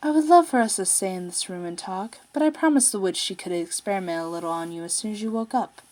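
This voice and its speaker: A woman voicing a smug, possessive male alien prince in a story, with condescending, drawn-out phrasing. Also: medium pitch, darkish tone, natural voice.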